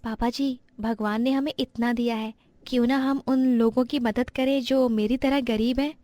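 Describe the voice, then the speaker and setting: A woman playing a gentle, earnest young daughter-in-law in a dramatized moral story. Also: a little high, fairly even intonation, moderate pace, no room sound, close to an everyday voice. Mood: gentle, sincere.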